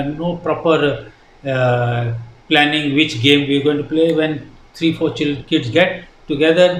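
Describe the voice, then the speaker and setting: An older man from India with an Indian accent, whose first language is Hindi, answering interview questions in a halting, earnest way with many fillers and restarts. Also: fairly high pitch. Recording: echoey room with faint noise.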